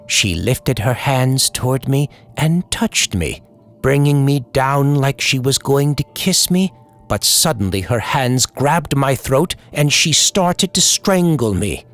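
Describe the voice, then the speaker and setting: Man narrating horror with animated, swooping delivery. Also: mid-range voice, takes it slowly, soft background music. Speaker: horror story host and narrator. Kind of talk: old-time radio horror storytelling, scripted narration.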